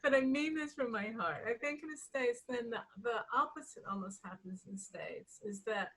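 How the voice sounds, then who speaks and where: A woman with a slightly husky, breath-worn voice talks as a writer in an interview. She thinks aloud, pausing and circling back to rephrase. Laughter comes readily into her speech. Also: fairly high pitch, a bit bright, rough recording. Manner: laughs readily while talking. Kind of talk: reflective interview conversation.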